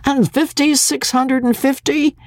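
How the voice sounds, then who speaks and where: A woman voicing an excitable old riverboat captain, a fussing father with folksy, rustic speech in a storybook reading. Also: fairly high pitch, a little slow, dry recording, studio quality, voice pushed up. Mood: happy, absorbed.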